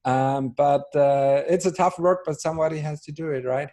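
Austrian man with a bright voice. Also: on the high side, moderate pace. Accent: Austrian German. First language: German. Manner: hesitant, many fillers and restarts, dry humor. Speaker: brewery ambassador, guest. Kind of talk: casual interview over video call. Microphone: video call.

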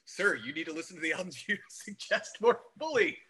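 A man's voice: high voice, expressive, a little fast, sharp tone, slightly rough. A man bantering casually in a playful, joking way.

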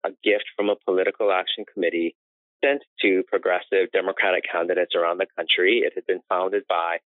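A man's voice: sits low, little pitch movement, a little fast, no room sound. Guy gabbing away in an interview, laughs a lot, dry thin phone sound. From United States. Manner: chatty storyteller who laughs often. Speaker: interior designer and guest. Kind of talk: conversational interview. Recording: tinny phone-like line, dry.

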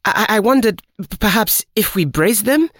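A man's voice: high voice, animated, normal speed, a bit bright, no room sound, studio quality, voice pushed up. A man voicing a nervous, stammering young male techsmith who talks eagerly and anxiously. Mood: flustered.

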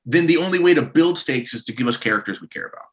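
A man in his thirties co-hosting a casual podcast, telling stories in an animated, rambling way and often restarting his sentences. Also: a little high, quick pace. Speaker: podcast co-host.

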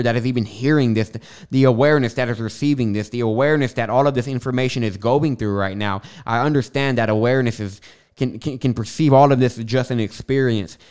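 A young man talks into a podcast microphone with a clear lisp on his S sounds. His voice is smooth and resonant, sitting at an ordinary middle pitch. He rambles casually, with plenty of fillers and side tangents. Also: darkish tone. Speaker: podcast host. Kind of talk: casual spiritual monologue. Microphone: studio microphone.

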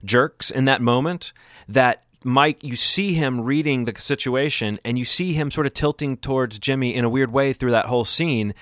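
Guy on a recap podcast, rambly and analytical, medium pitch, close dry sound. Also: normal speed, a bit bright, no echo. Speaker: podcast co-host. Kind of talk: casual TV recap discussion.

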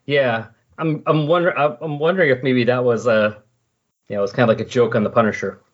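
A man with a husky voice hosts a relaxed discussion show. He explains things conversationally at an everyday pitch. The recording is a little dull and lo-fi. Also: brisk. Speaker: podcast host. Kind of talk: casual comic-book recap discussion.

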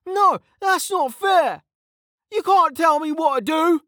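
A narrator voicing a hot-headed young male fire mage in a fantasy audiobook, indignant and protesting, pitched far up. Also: a little slow, bright, forceful tone, dry recording, studio quality. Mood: outraged protest.

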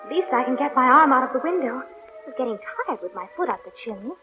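A woman's voice: high-pitched, expressive, quick pace, over loud music. A woman playing a polite, curious young girl who muses aloud to herself in an old radio play. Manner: polite, wondering, talks to herself. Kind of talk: 1940s radio acting. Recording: dull old radio recording.

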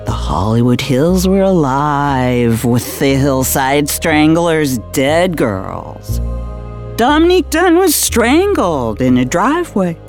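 An actor playing an older, world-weary retired homicide detective, brooding through a noir radio theater monologue. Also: male voice, a little high, sing-song, soft background music, full character voice. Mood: grim, wry. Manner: brooding, deliberate noir storytelling.

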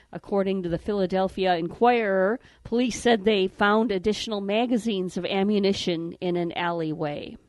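A woman reads the morning news headlines on a radio show in a steady, measured delivery. Her voice sits at an ordinary pitch. The studio sound is dry and close. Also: no echo.

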